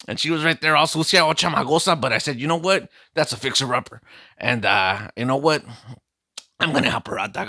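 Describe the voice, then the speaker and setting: A man's voice: on the high side, sharp tone, no room sound, clean studio sound. A man playing a boastful, heartbroken streetwise vato, telling a comic story in slangy talk. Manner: slangy, calls listener "dog" constantly, boastful storytelling. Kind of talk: comic character monologue.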